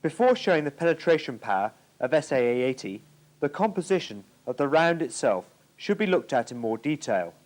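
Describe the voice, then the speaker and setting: A man's voice: fairly high pitch, very animated, brisk. A British man giving formal, precise scripted narration for an instructional military training film from the 1980s.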